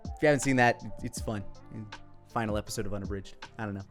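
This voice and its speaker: A man's voice: fairly low pitch, fast, soft background music. A man giving casual, rambling, self-deprecating commentary on his own old videos.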